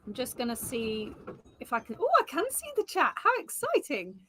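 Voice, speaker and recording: A woman's voice: on the high side, huge pitch swings, moderate pace, a bit bright, slightly rough. A woman co-hosting a live psychic reading stream, chatting casually with viewers, nursing a sore throat. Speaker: co-host, psychic reader.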